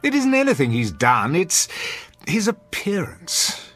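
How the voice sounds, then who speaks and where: A man's voice: high voice, huge pitch swings, unhurried, fairly bright tone, faint music behind, full character voice. A man playing a domineering, sarcastic wealthy husband in a radio drama, speaking with haughty, formal diction. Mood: disdainful. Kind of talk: classic radio drama acting.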